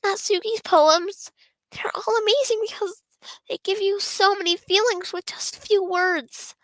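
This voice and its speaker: Woman voicing a high, pleading, sweet peacemaker schoolgirl. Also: steady tone, unhurried, a bit bright, dry recording, low-quality recording. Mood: earnest, gushing.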